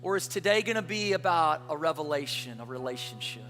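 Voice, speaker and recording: A man preaches with energy, firing rhetorical questions at his listeners and calling for a response. His voice is bright, forceful and slightly high. He sounds across a large church hall with long reverb and soft music beneath. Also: lively intonation, brisk. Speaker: pastor. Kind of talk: Easter sermon, dialogue with co-pastor.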